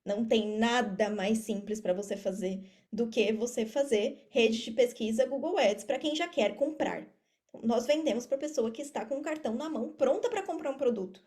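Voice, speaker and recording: A woman in her thirties with a Brazilian accent and a fairly high, mellow voice. She answers as a podcast guest in an informal, explanatory way. She often repeats phrases to drive a point home. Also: normal speed. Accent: Brazilian Portuguese. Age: 30s. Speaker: podcast guest, affiliate marketer and mentor. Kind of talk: conversational interview answers.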